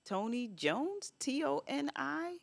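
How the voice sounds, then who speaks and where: A woman's voice: high voice, sing-song, takes it slowly, vocal fry. A woman in her fifties co-hosting a podcast, talking casually and stressing points by repeating them. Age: 50s. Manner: emphatic, repeats phrases for stress. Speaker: podcast co-host. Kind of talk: casual chat.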